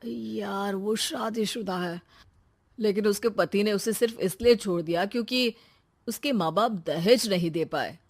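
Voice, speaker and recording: A woman's voice: medium pitch, measured pace, pitched below the performer's own voice, natural voice. A woman voicing a trusting, lovestruck young brother in a cartoon story, confiding hesitantly and earnestly. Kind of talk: cartoon moral story voice acting.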